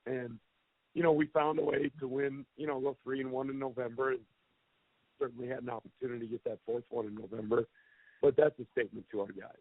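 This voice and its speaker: A man's voice: mid-range voice, steady tone, quick pace, no room sound. A man, a head football coach, answering reporters' questions on a press conference call in a candid, plainspoken, self-deprecating way. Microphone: telephone. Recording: tinny phone line, dry.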